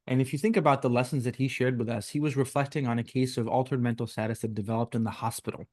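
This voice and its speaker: A man co-hosting a medical case conference who talks in a measured, deliberate, reflective way. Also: medium pitch, steady tone, a little mellow. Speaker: co-host, teacher. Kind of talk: reflective teaching talk. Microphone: video call.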